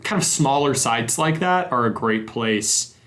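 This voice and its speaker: A man's voice: mid-range voice, lively intonation, unhurried, fairly bright tone. A young man hosting a casual games podcast, rambling in a friendly way with self-deprecating asides. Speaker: podcast host.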